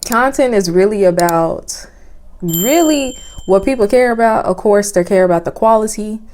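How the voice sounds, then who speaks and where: Soft-voiced young woman. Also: mid-range voice, expressive, moderate pace, a little hiss or hum. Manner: chatty, many fillers like "um" and "like". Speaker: vlogger. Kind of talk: casual product review vlog. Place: home, indoors. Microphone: phone with clip-on lapel mic.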